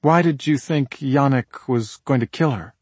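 A man's voice: mid-range voice, a little slow, a little mellow, slightly rough, dry recording, pitched above the performer's own voice. A man voicing a tough female homicide detective with a direct, challenging manner in an audiobook. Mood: probing.

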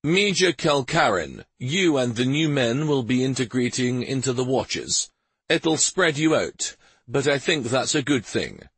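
A man's voice: mid-range voice, animated, a little slow, a bit bright, breathy edge, no room sound, pitched above the performer's own voice, full character voice. A man voicing a commanding, kindly army major in an audiobook fantasy story. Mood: firm, instructive. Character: commanding military major giving orders, firm but kindly man.

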